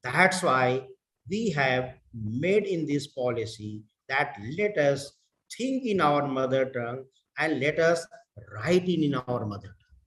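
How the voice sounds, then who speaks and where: A middle-aged man from India with an Indian English accent, giving a deliberate lecture to fellow educators, repeating words and mixing in Hindi. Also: mid-range voice, sing-song, fairly bright tone, echoey room, low-quality recording. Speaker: professor.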